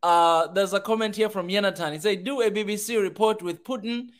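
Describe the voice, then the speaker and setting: A man's voice: high-pitched, fairly quick. A male host in a casual chat, encouraging his guest and reading out comments.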